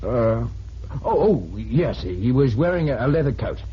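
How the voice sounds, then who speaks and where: A man's voice: medium pitch, big pitch swings, normal speed, natural voice. An actor playing an evasive, defensive male murder suspect in a vintage radio drama. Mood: nervous, evasive. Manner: hesitant, stammering, defensive. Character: evasive, nervous male murder suspect who later confesses. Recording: muffled, noisy vintage radio recording.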